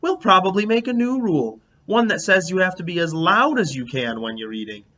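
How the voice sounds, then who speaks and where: Man voicing an emphatic, bright, bossy young girl. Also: high-pitched, expressive, fairly quick, voice pushed up. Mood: excited. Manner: emphatic, insistent. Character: bossy, earnest, exuberant third-grade girl. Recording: dull lo-fi, background noise.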